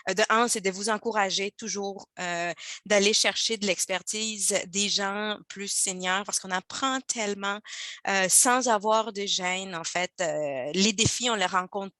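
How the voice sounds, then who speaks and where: A native Spanish-speaking woman from Mexico with a Mexican accent, giving an informal testimonial in a halting, enthusiastic way with repeated words. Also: mid-range voice, bright, forceful tone, no echo. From Guadalajara, Mexico. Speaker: former mentee giving a testimonial. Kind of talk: informal testimonial in an online talk.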